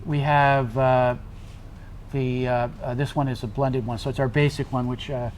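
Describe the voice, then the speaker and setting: A man's voice: medium pitch, flat delivery, normal speed, darkish tone. A middle-aged man, a tea blender, chatting at a tasting in a hesitant way with many fillers. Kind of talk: casual conversation at a tasting. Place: tasting room in a tea factory. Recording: faint room noise.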